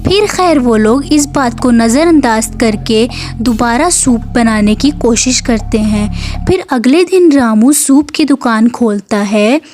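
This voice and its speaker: Slow, high-voiced woman storyteller. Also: animated, darkish tone, soft background music. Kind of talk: scripted narration of a moral story.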